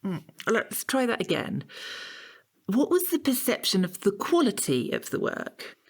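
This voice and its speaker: An actress playing a prim, austere art tutor in an audio comedy drama, clipped and schoolteacherly, with faint disapproval. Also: female voice, medium pitch, huge pitch swings, unhurried, breathy edge, full character voice. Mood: patient, probing.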